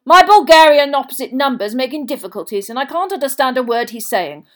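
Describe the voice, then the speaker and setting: A woman's voice: high-pitched, sing-song, moderate pace, pitched above the performer's own voice. A woman voicing a jovial, boisterous male wizard official with a hearty, carefree, chummy manner in an audiobook. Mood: jolly, eager.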